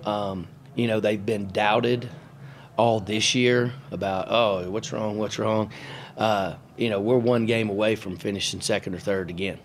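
A middle-aged man, a basketball coach, answering questions at a postgame press conference in a halting, matter-of-fact way, with many fillers and restarts, into a table microphone. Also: lowish voice, fairly even intonation, slight background noise. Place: press room in front of a sponsor backdrop. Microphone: table mic on a stand.

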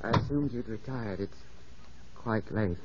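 An actor playing a proud, smitten young gentleman in his twenties, delivered earnestly in the theatrical style of mid-century radio drama. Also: lowish voice, a little slow, husky. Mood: wounded, petulant. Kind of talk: mid-century radio drama acting, with first-person narration. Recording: dull old radio broadcast with music.

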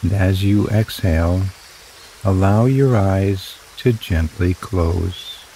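Slow, deep-voiced male meditation guide. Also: warm tone, a little hiss or hum, rough recording. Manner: slow, soothing, drawn-out phrases.

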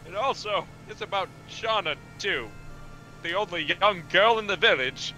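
A man's voice: sits high, moderate pace, sharp tone, poor audio. A man voicing a frail, plaintive old village man with a strained voice over game music.

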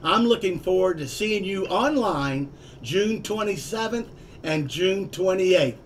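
A man's voice: sits high, lively intonation, measured pace, slight background noise. An older man, a club vice president, delivering a scripted promotional dialogue with enthusiasm over a video call from a home office.

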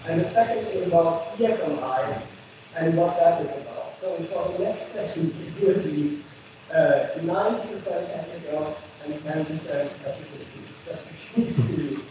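A man with a high voice speaks as a conference moderator. He is heard from far off in a very echoey hall. Background noise is clear, and the sound is dull. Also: normal speed. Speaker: session moderator. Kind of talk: conference panel discussion.

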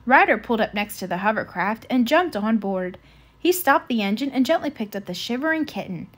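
A woman reading a children's storybook aloud in an expressive style. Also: on the high side, brisk. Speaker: children's book reader.

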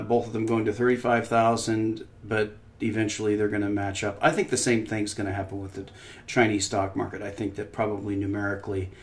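A man hosting casual market commentary over a shared screen. He talks in a loose, conversational way, pausing often with fillers and dropping skeptical, opinionated asides. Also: on the low side. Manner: conversational, frequent pauses and "uh" fillers. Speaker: financial commentary host.

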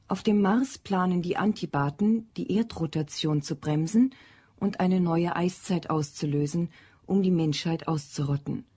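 Mellow, husky female narrator. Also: fairly low pitch. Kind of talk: scripted narration, plot summary.

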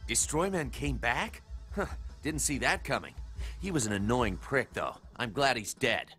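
An actor playing a brash, cocky assassin antihero in a video game, blunt and offhand. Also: male voice, medium pitch, animated, moderate pace, a bit bright, soft background music, natural voice.

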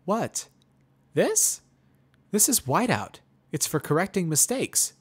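Inquisitive, proper female pony for a fantasy story, raised pitch, emphatic delivery male reader acting her out. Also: sing-song, takes it slowly, slightly rough, heavily acted. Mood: puzzled. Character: polite, inquisitive female pony doctor-like questioner.

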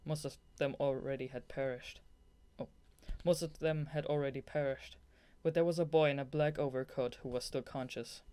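Young narrator with a mid-pitched voice. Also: normal speed, clean tone. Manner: giggly, excitable asides between read passages. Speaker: audiobook reader. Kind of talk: scripted novel narration with blooper asides.

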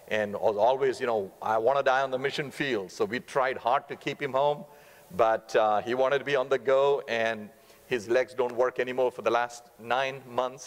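Fast-talking Indian man preaching in a hall. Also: mid-range voice. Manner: fast-talking, warm humor, earnest. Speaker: guest missionary speaker. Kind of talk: sermon. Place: church stage, large sanctuary. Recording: long reverb of a church hall.

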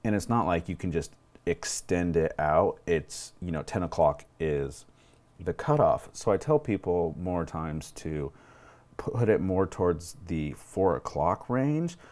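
A man's voice: deep voice, expressive, a little slow, a little mellow. A man in his thirties on a lapel mic indoors, casually talking a client through things in an informal, explanatory way as a DJ. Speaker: wedding DJ consulting with clients. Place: home room at a table, indoors.

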